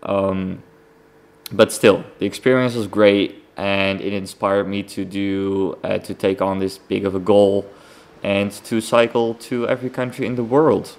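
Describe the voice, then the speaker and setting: A young Dutch man hosts a casual solo podcast in a lowish, creaky voice. His English has a Dutch accent. He talks informally and rambles along as he gives an update. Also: flat delivery, unhurried. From Netherlands. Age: young adult. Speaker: podcast host.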